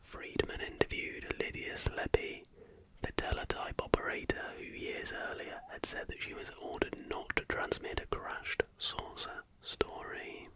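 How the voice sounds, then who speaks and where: A man narrating in an unvoiced, breathy whisper as the softly spoken host of an ASMR channel. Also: a little slow, low-quality recording.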